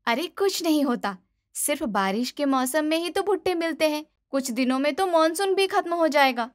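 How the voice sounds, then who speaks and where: Woman voicing a breezy, stubborn young daughter-in-law, high-pitched. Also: expressive, normal speed. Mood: breezy, defensive. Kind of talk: cartoon moral story dialogue.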